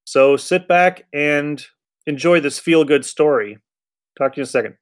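A man's voice: on the high side, moderate pace. A man hosting a podcast, giving a casual scripted introduction. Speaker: podcast host. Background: faint music.

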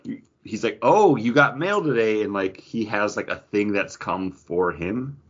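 A man with a low voice chats as a podcast host. His delivery is conversational, rambling and enthusiastic. The recording is a little dull and band-limited. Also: lively intonation, a little slow. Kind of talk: casual pop culture chat about comics.

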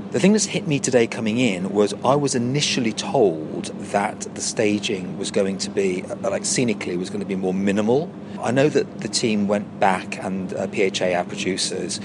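An English man in middle age with a low voice, talking casually and effusively on location. He repeats himself for emphasis, and there is clear ambient and vehicle noise behind him. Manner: effusive, excitable, emphatic repetition. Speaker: pantomime performer being interviewed. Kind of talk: casual on-location interview chat. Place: inside a large tent, on location.

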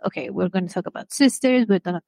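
Woman, measured and dry. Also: lowish voice, sing-song, creaky, warm tone, no room sound. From Greece. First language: Greek. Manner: measured, pausing often with "uh" and "um". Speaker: author guest. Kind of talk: podcast interview.

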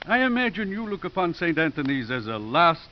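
An actor playing a gentle, droll elderly priest with a genteel manner in a 1950s radio drama. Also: male voice, a little high, very animated, fairly quick. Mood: gracious. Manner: genteel, dryly humorous. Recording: muffled, hissy 1950s radio recording.

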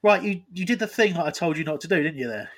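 A man's voice: a little high, expressive, quick pace, a crowd in the background. Joking man hosting a football podcast. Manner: joking, teasing. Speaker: podcast host. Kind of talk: casual football podcast chat.

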